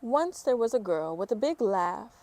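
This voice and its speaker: A woman reading a children's picture book aloud in an expressive, unhurried way, with informal chatty asides. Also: medium pitch.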